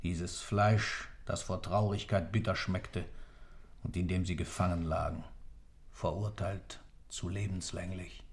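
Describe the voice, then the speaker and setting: Low, solemn, accusing moralist. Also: male voice, steady tone, a little slow. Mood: stern, bitter. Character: grave, moralising man condemning a pair of lovers. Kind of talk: literary radio play monologue.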